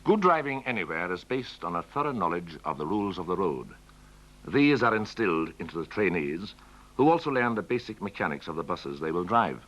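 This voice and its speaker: A man's voice: deep voice, very animated, a little fast, fairly bright tone. A man reading scripted narration for a 1960s training film, on an old film soundtrack. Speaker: documentary narrator. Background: music.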